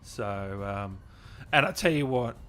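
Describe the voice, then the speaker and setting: A man's voice: on the low side, expressive, slow pace, fairly bright tone, soft background music. Casual, chummy male livestream host. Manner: chummy, informal, addressing viewers as guys and brother. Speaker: host of a gaming channel livestream. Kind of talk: casual livestream chat to viewers.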